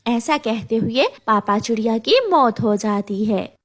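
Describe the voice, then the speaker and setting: A woman's voice: on the high side, unhurried, slight background noise. A woman narrating a scripted children's story.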